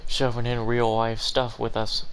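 A man's voice: medium pitch, monotone, measured pace, noisy background. A man giving casual playthrough commentary as a gaming streamer. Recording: game music behind the voice.

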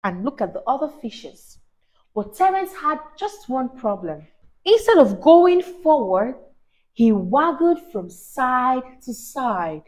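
High-pitched, animated woman storyteller. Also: low-quality recording. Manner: expressive, teacher-like, deliberate emphasis, asks questions. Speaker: children's storyteller and host. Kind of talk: animated read-aloud to a child. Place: small indoor room, on a sofa. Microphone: clip-on lapel mic.

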